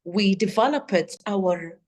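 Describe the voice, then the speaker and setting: A woman with a Tunisian accent, a native Arabic speaker, answering interview questions as an expert in earnest, emphatic and halting non-native English. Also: medium pitch, animated, a little mellow. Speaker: AI policy expert, podcast guest.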